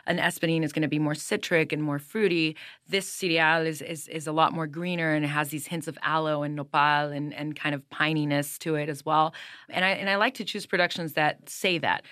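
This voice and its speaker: Low-voiced woman explaining her mezcal. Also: fairly even intonation, a bit bright, dry recording, studio quality. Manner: measured, explanatory, with small repeated restarts. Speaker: mezcal brand owner. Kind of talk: casual interview answers.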